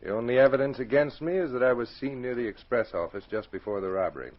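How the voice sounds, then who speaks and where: A man's voice: medium pitch, quick pace, natural voice. Man playing plain-spoken, muffled radio-drama outlaw. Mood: frank, insistent. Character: frank, resigned accused robber protesting his innocence. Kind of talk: 1940s radio drama acting. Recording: muffled 1940s radio recording.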